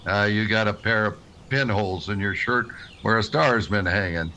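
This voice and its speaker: An actor playing a stern, weary frontier marshal in a western radio drama, terse, plain-spoken and grave. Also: male voice, on the low side, moderate pace, bright, forceful tone, a little hiss or hum. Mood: dry, calm.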